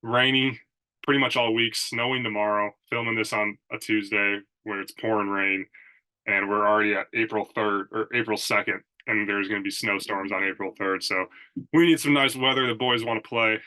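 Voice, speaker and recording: Stuffed-up nasal man, bright voice. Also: lowish voice, steady tone, moderate pace, poor audio. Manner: rambling, filler-heavy, says "like" and "dude" often. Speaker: podcast host and strength coach. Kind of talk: casual solo podcast talk. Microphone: Zoom recording.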